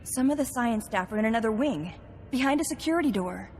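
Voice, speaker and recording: A woman's voice: on the high side, expressive, brisk, a little mellow, a little hiss or hum, natural voice. Woman playing an anxious station scientist in echoing space. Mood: worried, hopeful.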